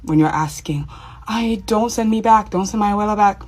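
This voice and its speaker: A woman's voice: medium pitch, moderate pace, a little mellow. A woman from Miami with a Cuban family, speaking earnestly to camera as a social media commentator and pleading with her audience. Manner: earnest, pleading, with small nervous laughs. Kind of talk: direct-to-camera personal commentary.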